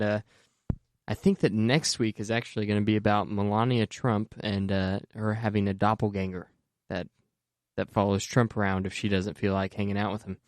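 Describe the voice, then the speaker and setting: A man's voice: lowish voice, clear, resonant tone, dry recording, clean studio sound. A man co-hosting a local podcast, chatting casually and loosely with filler words. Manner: relaxed, with filler "uh"s. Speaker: podcast co-host. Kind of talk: casual chat.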